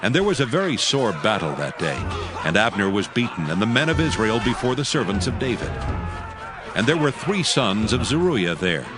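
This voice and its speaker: A man narrating scripture from a script in a measured, formal storytelling manner. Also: fairly low pitch, big pitch swings. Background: music.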